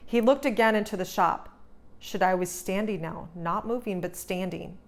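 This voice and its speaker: A woman narrating a children's storybook as a read-aloud host, with a dramatic, expressive storytelling delivery. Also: mid-range voice, normal speed.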